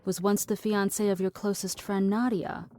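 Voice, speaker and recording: Actress playing a needling, philosophical woman for audio drama, soft-toned, pointed and condescending. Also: medium pitch, level tone, moderate pace, close to an everyday voice. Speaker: actor.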